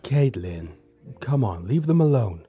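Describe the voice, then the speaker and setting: A man voicing a charming, gently teasing popular high school boy, calm and smooth-talking, in an animated roleplay. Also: measured pace, heavily acted.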